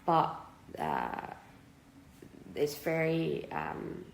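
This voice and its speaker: A young Scottish woman in her twenties talks through interview answers, pausing often with fillers and restarts. Her lowish voice has a gravelly fry, and the room echoes around her. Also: huge pitch swings, slight background noise. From Scotland. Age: 20s. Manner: hesitant, many fillers and restarts.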